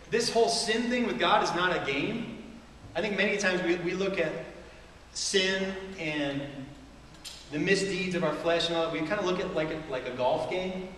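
A middle-aged man preaching from a church stage, talking conversationally and tossing rhetorical questions to the room. His voice sits a little high for a man. It is caught from far off, with a long, roomy hall echo and a faint hiss behind it. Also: a bit bright. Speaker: pastor. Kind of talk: sermon. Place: church stage with a large screen. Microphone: far camera in the hall.

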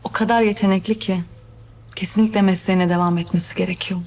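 Actress as inquisitive young woman, medium pitch. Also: fairly even intonation, fast, a little mellow, lightly acted. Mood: admiring. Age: young adult. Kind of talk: TV drama acting, naturalistic dialogue. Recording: clear music under TV drama dialogue.

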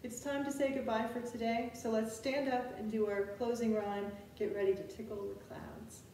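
A woman's voice: on the high side, flat delivery, normal speed, warm tone. A middle-aged woman hosting a preschool storytime, giving simple step-by-step prompts to small children. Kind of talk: children's storytime instruction, rhymes and counting. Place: indoors, open living room and kitchen at home. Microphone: camera mic a few feet away. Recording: echoey room with faint background noise.

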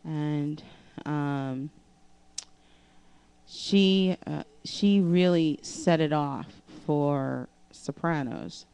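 Deep-voiced woman vocal coach, slow. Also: warm tone, clear, resonant tone. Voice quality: low speaking voice. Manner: halting, many fillers and restarts. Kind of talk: casual vocal lesson vlog. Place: living room with couch and keyboard. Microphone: handheld microphone.

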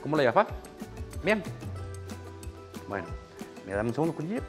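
A man's voice: on the high side, very animated, brisk, soft background music. A man, a chef teaching a cooking class in a small kitchen and guiding an assistant step by step. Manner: practical, directs an assistant at his side. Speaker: chef giving a cooking demonstration.

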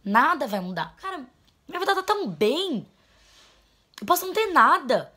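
A young woman, talking into a camera in a small bedroom. Her voice sits a bit high, and she is blunt and emphatic. She drops low, then turns loud to drive a point home. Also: big pitch swings, normal speed. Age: 20s. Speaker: vlogger. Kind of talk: heartfelt vent to camera. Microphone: camera mic at close framing.

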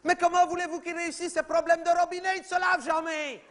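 A man doing a high-pitched, chattering mother. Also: level tone, fast, a bit bright, a little hiss or hum. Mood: chatty. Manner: rambling, gossipy, repeats "oui, oui", talks over others. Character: chatty, oblivious middle-aged mother at a school meeting. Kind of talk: comedy sketch monologue.

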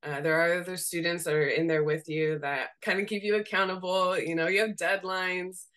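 A young woman from Seattle with a slightly raspy voice, chatting casually as a guest on a video call and laughing often as she talks. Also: on the low side, moderate pace. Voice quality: raspy voice today. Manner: laughs often while talking, relaxed and candid. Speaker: guest, graphic designer. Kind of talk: casual interview chat. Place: home room.

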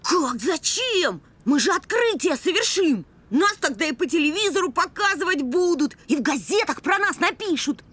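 A woman playing an eager, bossy young boy puppy full of big ideas in an animated cartoon. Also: very high-pitched, moderate pace, few pauses, heavily acted. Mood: eager, persuasive.

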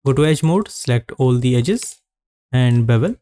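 A man narrating a screen-recorded 3D modeling tutorial, giving calm step-by-step instructions with frequent pauses. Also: mid-range voice, clean tone, no echo.

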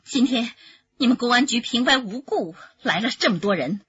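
Shrill, flustered, protesting woman. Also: animated, moderate pace, a bit bright, husky, muffled recording, exaggerated voice. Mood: indignant, flustered. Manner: stammering, protesting. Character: indignant, flustered woman accused of hiding a fugitive. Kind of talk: Chinese radio crime drama acting.

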